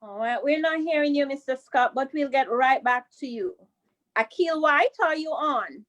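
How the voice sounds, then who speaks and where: A woman's voice: high voice, moderate pace, fairly bright tone. A woman hosting a live online children's story time and directing participants on a call.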